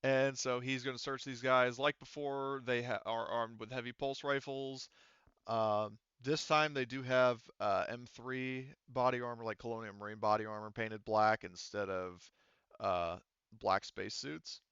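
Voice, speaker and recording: A man running a tabletop roleplaying game, narrating off the cuff and thinking aloud in a halting way. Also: medium pitch, fairly even intonation, fairly bright tone, clear, resonant tone, no echo, highs cut off. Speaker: game master.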